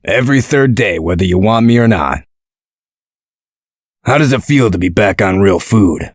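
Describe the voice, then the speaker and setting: A man playing a gruff, protective male character in a comic dub, speaking in a measured, dry, explanatory way. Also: lowish voice, expressive, no echo. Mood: dry, caring.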